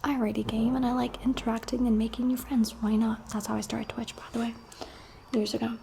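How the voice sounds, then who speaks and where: Young woman ASMR creator from the UAE, soft unvoiced whisper, chatty and candid, faint hiss behind. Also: a little high, level tone, brisk, gravelly.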